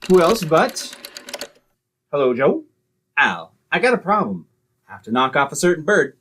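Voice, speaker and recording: A man acting a breezy, streetwise, cowardly schemer in an old-time radio comedy, wisecracking in slangy speech with a husky voice. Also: on the high side, big pitch swings, moderate pace, fairly bright tone, slight background noise. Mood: cocksure, scheming.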